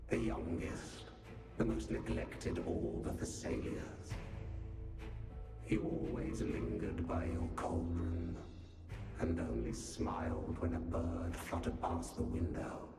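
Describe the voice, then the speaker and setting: Casting: bitter older phantom noble for a game, breathy, taunting, low and unhurried. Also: male voice. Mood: taunting coaxing. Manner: slow, deliberate, taunting. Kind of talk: dramatic video game voice acting. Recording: echoing voice under loud music.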